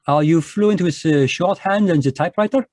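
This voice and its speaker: A man voicing a fussy, precise Belgian detective, speaking in a measured, lecturing way in a live online radio drama. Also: on the high side, a little mellow, no echo, clean studio sound, lightly acted. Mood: courteous.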